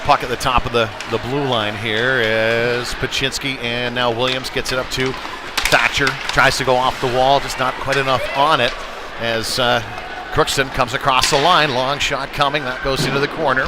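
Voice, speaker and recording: A man's voice: medium pitch, little pitch movement, normal speed, heavy background noise. A man calling a live ice hockey game in an informal, conversational play-by-play style. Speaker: play-by-play sports commentator. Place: sports rink during a live game. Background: loud arena music.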